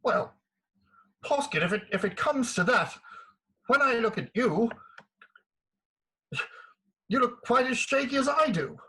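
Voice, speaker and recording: A man acts a battered, gallant colonel from a stage farce. His voice sits high for a man and has a rough husk. He talks in a bluff, gentlemanly, old-fashioned way. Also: expressive, measured pace, pauses often, full character voice, poor audio. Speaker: actor.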